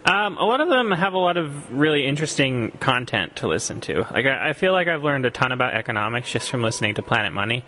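Young costa rican exchange student on radio, bright forward voice, hesitant ums, faint hiss. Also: male voice, medium pitch, very animated, fast talker, hardly any pauses. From Costa Rica. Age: young adult. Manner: thoughtful, many ums and restarts. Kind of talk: radio interview answers.

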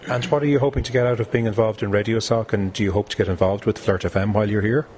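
A man presenting a student radio show, asking guests steady, brisk questions. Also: fairly low pitch. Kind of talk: radio interview.

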